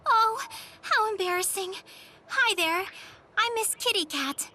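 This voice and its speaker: Theatrical cat lady, game character, very high bright voice, gushing, helpless, coy. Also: expressive, measured pace, a little hiss or hum, full character voice. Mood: dramatic distress. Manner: gushing, melodramatic, pun-laden. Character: theatrical, helpless cat-loving lady who speaks in cat puns. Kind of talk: video game voice acting.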